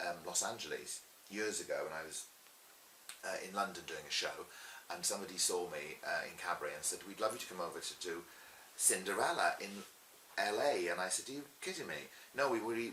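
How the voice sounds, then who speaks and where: Deep-voiced man, theatrical and chatty. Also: fairly bright tone. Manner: chatty, theatrical, emphatic storytelling. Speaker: pantomime dame performer, interviewee. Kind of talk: animated anecdotal interview talk. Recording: roomy echo with faint hiss.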